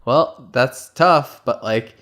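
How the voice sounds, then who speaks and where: A man's voice: medium pitch, slow pace, a bit bright. A man co-hosting a podcast, chatting casually about games, rambling with plenty of fillers and frequent laughs, his nose a little stuffy. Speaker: podcast co-host. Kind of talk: casual gaming chat.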